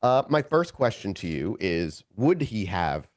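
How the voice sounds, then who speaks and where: A man's voice: on the low side, very animated, measured pace, no echo, studio quality. A man narrating a tabletop role-playing game as game master, improvising scene descriptions and often correcting himself as he searches for words.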